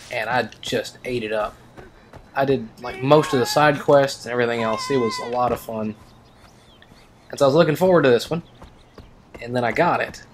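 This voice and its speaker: A man giving casual let's play commentary as a gaming video host, rambling and wry. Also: mid-range voice.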